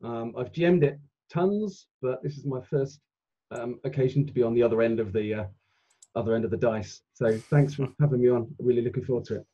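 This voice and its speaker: A middle-aged man speaks with a soft, dark tone at an average pitch. He chats casually as a podcast guest, with slightly dull call audio. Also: fairly quick. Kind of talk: casual chat. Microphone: video call.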